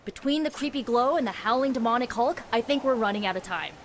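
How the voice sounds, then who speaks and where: A woman's voice: fairly high pitch, lively intonation, quick pace, lightly acted. A woman voicing a spirited young superhero team leader in a video game, talking casually and with determination. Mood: urgent, wry. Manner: casual, youthful slang. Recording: noisy game sound effects behind.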